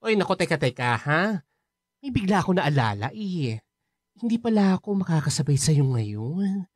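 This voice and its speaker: An actress playing a chatty, affectionate young best friend in a Filipino radio drama, playful and bubbly. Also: female voice, sits low, very animated, moderate pace, dry recording, studio quality.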